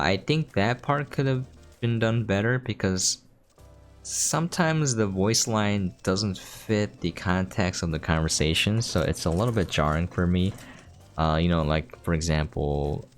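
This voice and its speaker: A man giving casual commentary as he hosts a gameplay preview. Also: sits low. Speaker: game preview host. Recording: music behind the voice. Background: game music.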